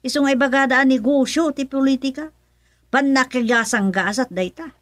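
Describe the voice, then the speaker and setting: A woman's voice: sits high, moderate pace, a bit bright, no room sound. An actress playing a worried, nagging middle-aged mother in a radio serial, emphatic, with an Ilocano accent. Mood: cautioning. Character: worried, nagging mother, older than her son. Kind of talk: radio serial drama acting.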